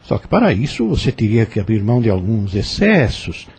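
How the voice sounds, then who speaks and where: Patient male Brazilian adviser, played by an actor, very breathy husky voice, mellow, lively intonation, audio drama. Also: lowish voice, fairly quick, full character voice. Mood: gentle, admonishing. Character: calm, caring man, gently questioning and counselling.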